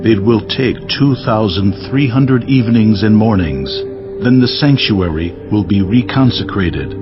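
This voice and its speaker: An actor playing a solemn holy being, giving an authoritative answer in a dramatized scripture reading. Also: male voice, fairly low pitch, expressive, measured pace. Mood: solemn, assured. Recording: dull audio under clear background music.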